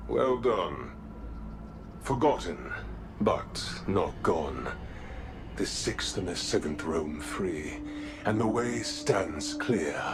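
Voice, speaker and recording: An actor playing an ancient, imperious god who manipulates his followers, speaking in a grand, deliberate, condescending way in a fantasy game. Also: fairly low pitch, background noise, heavily acted. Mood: grand, triumphant.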